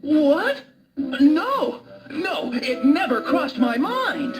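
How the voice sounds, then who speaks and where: A woman's voice: high-pitched, very animated, very slow, full character voice. A woman voicing an earnest, flustered male cartoon wolf who hesitates and stammers, eager to please a friend. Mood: flustered, protesting. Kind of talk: animated cartoon dialogue. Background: music.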